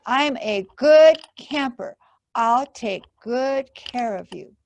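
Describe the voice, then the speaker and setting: A woman's voice: on the high side, expressive, takes it slowly, dry recording. A woman voicing a small, eager, confident female mouse in a children's storybook, encouraging and a little bossy. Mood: eager, persuasive. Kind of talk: storybook character reading.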